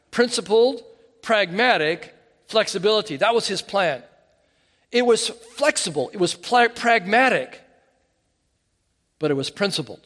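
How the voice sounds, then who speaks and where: A man preaching a sermon in an animated, emphatic way, often restarting his phrases. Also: sits high. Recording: hall reverb.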